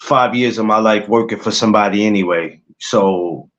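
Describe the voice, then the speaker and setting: A man's voice: fairly low pitch, normal speed. A man around forty chatting casually on a podcast, with easygoing, reactive banter. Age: 40s. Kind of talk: casual chat. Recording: dull lo-fi mic with faint noise.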